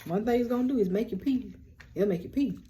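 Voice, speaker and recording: A young woman with a deep voice, hosting a rambling, casual lunchtime chat on her vlog. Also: fast talker, dark tone. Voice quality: low, deep voice. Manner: chatty, rambling, self-described yapper. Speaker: vlog host.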